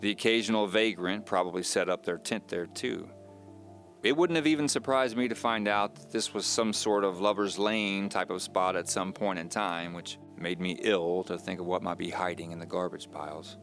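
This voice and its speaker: A man playing an uneasy man recounting his own mystery in measured, confiding, scripted audio drama narration. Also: low voice, fairly even intonation, a bit bright, soft background music. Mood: puzzled, dejected.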